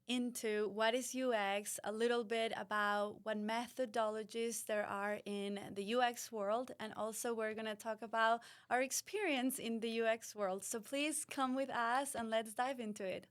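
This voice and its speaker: A woman's voice: on the high side, fairly even intonation, a little slow, dry recording, clean studio sound. A woman from Ecuador with an Ecuadorian Spanish accent, a UX designer co-hosting a podcast in a friendly, agreeable way. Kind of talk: casual conversational podcast.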